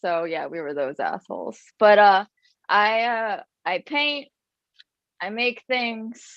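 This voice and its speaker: A woman performing spoken word poetry at an open mic, reciting in a measured, deliberate way. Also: medium pitch, no echo. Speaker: poet performer. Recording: dull lo-fi sound.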